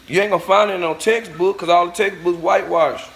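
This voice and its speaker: High-pitched man, vlogger, blunt profane rant to camera. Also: fairly even intonation, quick pace, creaky, a bit bright. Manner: blunt, profane, preachy, talks straight at the viewers. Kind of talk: ranting monologue to camera.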